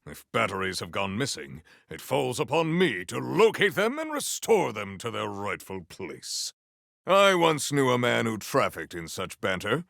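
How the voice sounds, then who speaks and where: An actor playing a proud, battle-hungry warrior in a video game, with formal, stilted and grandiose diction. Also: male voice, on the low side, creaky, fairly bright tone, dry recording, studio quality, full character voice. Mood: dry menace. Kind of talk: scripted video game character lines.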